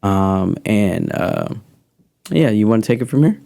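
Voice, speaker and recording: A man teaching music recording in a relaxed, rambling way, explaining a studio session. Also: on the low side, measured pace, gravelly. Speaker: music mentor. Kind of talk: casual teaching.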